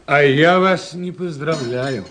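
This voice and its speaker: A man's voice: fairly high pitch, slow, fairly bright tone, slight background noise. An actor playing a skeptical doctor in a radio drama, wry and needling in the way he talks. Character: dry, skeptical, sardonic military-town doctor.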